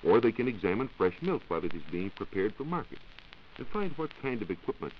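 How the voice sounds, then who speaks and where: An American man giving a scripted, measured science talk as a reporter on a 1940s radio show. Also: on the low side, very animated. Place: radio studio. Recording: muffled 1940s radio recording with hiss.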